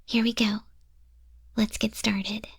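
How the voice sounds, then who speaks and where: A woman voicing a friendly goth moth monster-girl tattoo artist in an ASMR roleplay, chatting gently in a soft, breathy, often whispered voice. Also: on the high side, little pitch movement, slow pace, no echo, clean studio sound, exaggerated voice. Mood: friendly, reassuring. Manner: gentle, casual, chatty, reassuring. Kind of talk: ASMR roleplay, spoken to the listener. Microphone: close microphone.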